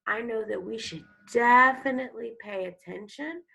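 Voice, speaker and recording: A woman teacher leading a read-aloud lesson for young children, explaining and prompting as she goes, with a gravelly, creaky voice. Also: fairly high pitch, moderate pace, sharp tone. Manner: instructional, addresses children with prompting questions. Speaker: elementary school teacher.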